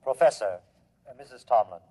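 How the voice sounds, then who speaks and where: An actor playing an emotionless alien humanoid who speaks in a formal, detached, superior way, in 1950s science fiction radio style. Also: male voice, medium pitch, lively intonation, a little fast, warm tone, lightly acted. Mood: calm, detached. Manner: formal, detached, precisely worded. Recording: muffled 1950s radio recording.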